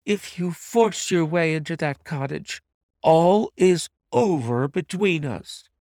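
A man's voice: on the high side, expressive, slow, a little mellow, no echo, clean studio sound, voice pushed up. A man voicing an anxious, secretive wife who pleads desperately and emphatically in an audiobook mystery. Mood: desperate pleading. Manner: imploring, emphatic, breathless entreaty. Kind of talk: dramatized audiobook reading of a classic mystery story.

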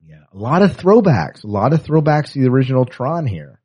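A man's voice: medium pitch, big pitch swings, a little fast, mellow, breathy edge. A man co-hosting a podcast, chatting casually and with a fan's enthusiasm about entertainment news, on a lo-fi recording. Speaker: podcast co-host. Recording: dull, lo-fi podcast audio.

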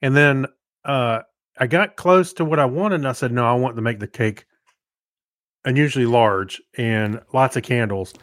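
A male podcast co-host with a medium-pitched voice, recorded close and dry. He talks casually, explaining his points in an easy conversational manner with some amusement. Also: moderate pace, fairly bright tone, no echo. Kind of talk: casual chat.

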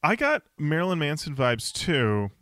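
A man's voice: on the high side, very animated, unhurried, a bit bright, no echo, studio quality. A man co-hosting a music podcast, chatting casually and joking playfully. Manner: playful, jokey bits. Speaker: podcast co-host.

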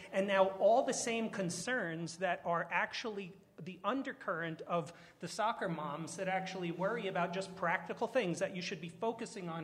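A man's voice: high-pitched, fairly bright tone. A man speaking on an energy panel, making an emphatic argument with rhetorical questions. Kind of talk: panel discussion.

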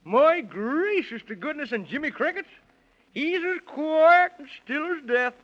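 A man playing a fussy, excitable little elf who exclaims in rhyme with a cartoonish voice in a 1930s children's radio serial. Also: unhurried. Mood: flustered, worried. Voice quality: high-pitched, cartoonish. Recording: muffled old 1930s radio recording.

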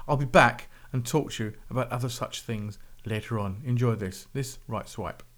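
A man's voice: mid-range voice, moderate pace, a bit bright. Grumbly man with a slight cold. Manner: chatty, rambling and grumbly with self-mocking asides. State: has a slight cold and sore throat. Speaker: podcast host. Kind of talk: casual podcast monologue introducing music.

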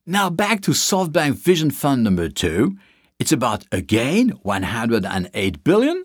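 A man's voice: medium pitch, no echo, studio quality. A man, not a native English speaker, giving a lecture from slides who poses questions and answers them himself. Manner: lively, asks and answers his own questions. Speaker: business lecturer.

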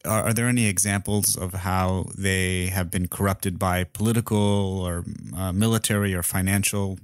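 A man hosting an interview podcast, putting questions conversationally and haltingly, repeating words as he forms his thoughts. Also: deep voice, steady tone, clean tone, dry recording.

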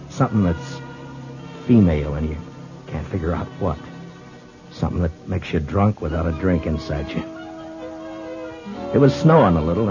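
An actor playing a plainspoken, reflective frontier lawman, with halting, musing delivery in 1950s radio western style. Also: sits low. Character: plainspoken, reflective Old West lawman narrating his story. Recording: dull old 1950s radio recording with music.

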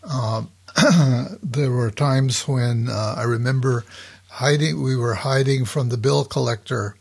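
A male interview host asking questions slowly and reflectively, with frequent fillers. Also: mid-range voice, gravelly, a little mellow, slightly rough. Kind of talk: podcast interview.

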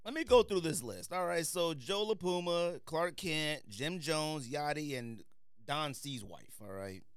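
Male American podcast host, lively intonation, slangy teasing banter, very dry close sound. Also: on the high side, measured pace, clear, resonant tone, no echo. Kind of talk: casual panel banter.

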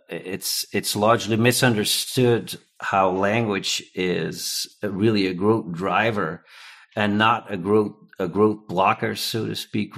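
A man from Belgium, a native Dutch speaker, answering interview questions in a measured, thoughtful way with frequent fillers and restarts. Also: lowish voice, a bit bright. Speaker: localization executive, guest.

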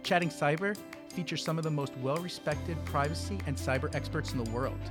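A man with a slightly husky voice hosting a podcast, introducing the show and putting questions to a guest. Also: a little high, brisk, over music. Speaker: podcast host and interviewer.

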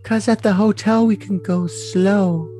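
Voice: medium pitch, fairly even intonation, measured pace, mellow, soft background music, close to an everyday voice. A performer voicing a sweet, submissive young woman in love, in an overly dramatic, gushing reading of messages. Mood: earnest.

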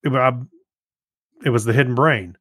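A man co-hosting a podcast, chatting casually and haltingly as he thinks aloud. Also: mid-range voice, fairly bright tone, no room sound, clean studio sound. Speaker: podcast co-host. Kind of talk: casual chat.

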